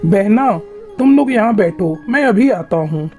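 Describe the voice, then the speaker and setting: Meek cartoon brother crow, voiced by a woman, soft dark tone, placating, henpecked, music behind. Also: mid-range voice, lively intonation, a little slow, natural voice. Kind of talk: Hindi cartoon moral story acting.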